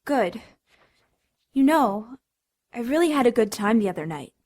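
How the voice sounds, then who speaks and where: An actress playing a dramatic, sarcastic teenage big sister in an audio drama. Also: female voice, on the high side, animated, unhurried, darkish tone. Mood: bitter. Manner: sarcastic, theatrical.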